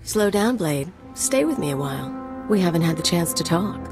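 A dangerous female assassin from a video game. Her voice is low and sultry, drawing out teasing threats in a purr, with clear music playing behind her. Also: very animated. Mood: coolly flirtatious. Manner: teasing, purring, drawn-out menace. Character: sultry, menacing female assassin and security chief. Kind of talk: video game cutscene acting.

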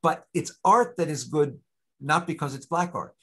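A man's voice: a little high, normal speed, slightly rough. Man in his sixties answering on a video call. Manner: discursive, many restarts, animated. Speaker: guest lecturer. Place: home study with bookshelves. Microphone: video call webcam.

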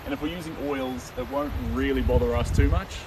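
A young man chatting casually with viewers while painting on a livestream, relaxed with frequent ums. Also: medium pitch, fairly quick. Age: young adult. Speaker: painter livestreaming his work. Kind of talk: casual livestream chat answering viewer comments. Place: outdoors on a lawn under open sky. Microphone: camera standing a few meters away. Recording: distant mic, loud outdoor wind and leaf noise.

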